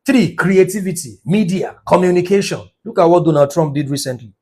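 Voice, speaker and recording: A man's voice: high voice, expressive, a little fast, darkish tone, breathy edge. A man giving a numerology lesson, speaking emphatically and checking in with his listeners as he goes. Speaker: numerology teacher. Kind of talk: teaching talk.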